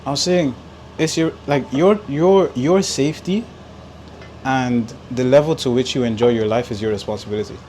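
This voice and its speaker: A man's voice: mid-range voice, normal speed. Casual man talking over humming noise. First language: Igbo. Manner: animated, talks with filler likes and repeats words. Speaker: podcast host. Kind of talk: casual podcast chat.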